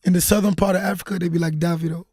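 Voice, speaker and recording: Easygoing high-voiced guest from Nigeria. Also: male voice, fairly even intonation, very fast, darkish tone, no echo, clean studio sound. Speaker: interview guest. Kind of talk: relaxed interview answers.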